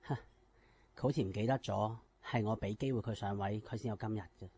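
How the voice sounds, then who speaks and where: An actor with a Hong Kong Cantonese accent playing an aggrieved, self-important company boss in a radio drama. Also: male voice, lowish voice, fairly even intonation, a little fast, warm tone, a little hiss or hum, close to an everyday voice. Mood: resentful.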